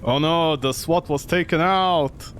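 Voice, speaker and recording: A man's voice: a little high, measured pace, a bit bright, a little hiss or hum. A man doing live game commentary, talking to himself in a sarcastic, exclamatory way. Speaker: gaming streamer.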